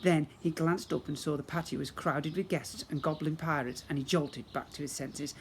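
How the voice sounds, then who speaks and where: A man giving an expressive storybook narration, as a teacher reads to young children. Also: fairly high pitch, moderate pace. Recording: clear background noise.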